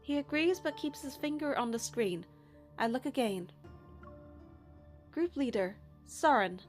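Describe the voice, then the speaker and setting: A woman's voice: sits high, lively intonation, a little slow, soft background music. A young woman reading a game's story narration and first-person dialogue aloud in a scripted style. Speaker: narrator of a game playthrough.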